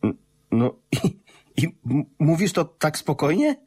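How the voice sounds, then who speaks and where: Grown son in a radio drama, unsure, questioning, voice goes up and down a lot. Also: male voice, a little high, huge pitch swings, measured pace, a little mellow, husky, heavily acted. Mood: puzzled. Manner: hesitant, questioning. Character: hesitant adult son, mildly puzzled by his parents. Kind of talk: radio play acting.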